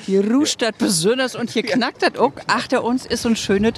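A woman with a northern German Low German accent, interviewing casually outdoors with curious short questions and remarks. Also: normal speed, a little hiss or hum. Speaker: reporter. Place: outdoors on a windy clifftop.

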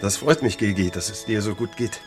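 A man's voice: lowish voice, soft background music, close to an everyday voice. Actor as a weary, pleading door-to-door salesman. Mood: resigned. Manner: pleading, halting, deferential. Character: worn-out, desperate young male door-to-door wax salesman. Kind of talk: German radio play acting.

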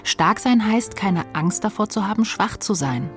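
A woman playing a wistful grandchild in a radio play, reflective and measured in short phrases. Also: medium pitch, animated, music in the background, lightly acted.

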